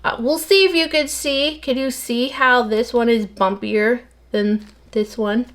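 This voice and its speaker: A middle-aged woman soap maker with a slightly nasal voice, chatting casually and rambling as she works, talking fast without pausing for breath. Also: on the high side, steady tone, fairly bright tone. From United States. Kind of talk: casual chat while working.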